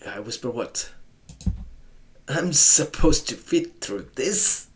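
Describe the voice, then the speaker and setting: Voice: medium pitch, lively intonation, takes it slowly, slight background noise. A gaming channel host casually playing a visual novel, tossing wry, joking asides at the story as it unfolds. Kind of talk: casual let's-play commentary.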